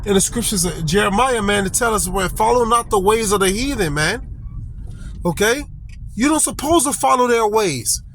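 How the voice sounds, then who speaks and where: A man's voice: high voice, expressive, normal speed, fairly bright tone. A middle-aged man preaching an informal, blunt sermon outdoors, exhorting listeners and tagging phrases with "man" and "okay.". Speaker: street preacher. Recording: outdoor street noise.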